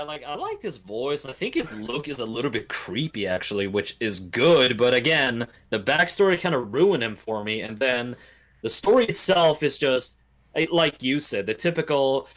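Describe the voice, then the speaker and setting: A man's voice: medium pitch, normal speed. Guy rambling opinions in a casual chat, voice goes up and down a lot, acts out quotes. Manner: animated, rambling storytelling with mimicked quotes. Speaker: podcast guest or panelist. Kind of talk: casual opinionated chat about video games.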